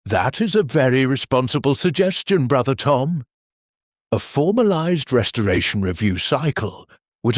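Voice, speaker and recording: A man playing a husky, earnest church chairman. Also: medium pitch, no echo, clean studio sound. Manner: measured, bureaucratic deadpan. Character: earnest, formal male church meeting chairman. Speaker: leader of a church meeting. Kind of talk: audio drama acting.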